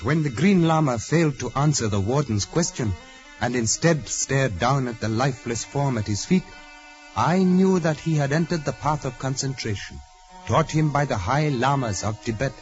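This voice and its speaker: A man's voice: medium pitch, expressive, normal speed, soft background music, lightly acted. A man playing a devoted, deferential assistant who speaks formally in a 1940s radio drama. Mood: solemn narrating. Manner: formal, respectful. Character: deferential, earnest male assistant and narrator, devoted follower. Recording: muffled old radio recording.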